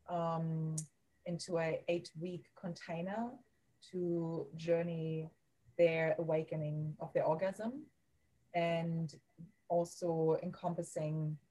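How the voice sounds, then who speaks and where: A woman with a German accent, a guest on a podcast interview, speaking softly and haltingly, searching for words as she goes. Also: on the low side, poor audio. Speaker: guest, women's coach.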